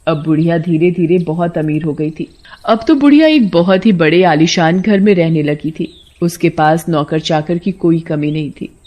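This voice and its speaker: A woman tells a scripted village folktale as narrator. Her voice sits a little low, in a storybook delivery. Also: moderate pace, mellow.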